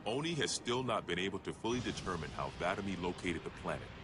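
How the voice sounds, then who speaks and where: A male actor playing a stern military intelligence officer, narrating an enemy dossier in a measured, formal, grave manner. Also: sits low, lively intonation, background noise. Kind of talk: scripted dramatic briefing narration. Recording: game cutscene audio with music underneath. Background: music.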